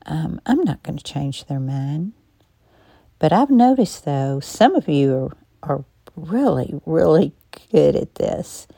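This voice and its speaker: A woman hosting her own podcast, a little hoarse today, sharing her thoughts in a calm, reflective monologue with frequent pauses and a non-native English accent. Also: low voice, dry recording, clean studio sound. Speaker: podcast host. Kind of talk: personal commentary monologue.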